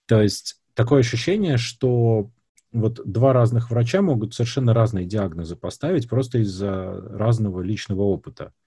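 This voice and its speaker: A man's voice: lowish voice, moderate pace, dark tone, slightly rough, no room sound, clean studio sound. A man hosting an interview, thoughtfully asking long searching questions. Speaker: interview host. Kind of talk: conversational interview.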